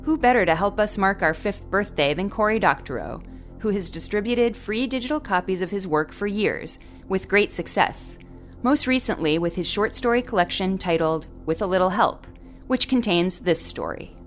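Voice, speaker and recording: A woman's voice: medium pitch, lively intonation, moderate pace. A woman reading a scripted podcast introduction as the host. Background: music.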